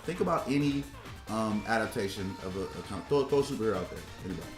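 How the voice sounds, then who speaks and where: A man co-hosts a casual podcast in a fairly low voice, working through his argument haltingly. He throws out rhetorical questions. The room echoes and music plays clearly behind him. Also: expressive. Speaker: podcast co-host. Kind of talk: casual discussion, arguing a point. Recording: roomy echo, music behind.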